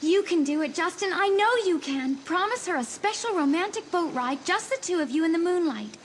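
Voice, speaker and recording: A woman's voice: very high voice, animated, fairly quick, a little hiss or hum. A woman playing a desperate, pleading teenage girl in a dramatised audiobook. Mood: urgent pleading. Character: desperate teenage girls, a trapped spirit and her impostor.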